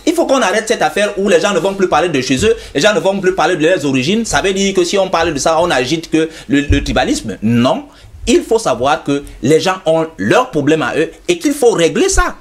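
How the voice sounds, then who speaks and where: A man arguing his point on a studio talk show. His pitch sits a little high and swings widely as he presses on, going back over his own phrases to keep the floor. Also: sing-song, brisk. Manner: insistent, repeats himself to hold the floor. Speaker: studio guest commentator. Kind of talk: television debate.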